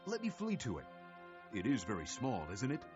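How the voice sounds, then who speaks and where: A man's voice: mid-range voice, wildly swooping pitch, moderate pace, lo-fi sound, over music. A man playing an anxious, fretful refugee beseeching for his life in a Bible audio drama. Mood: fearful pleading.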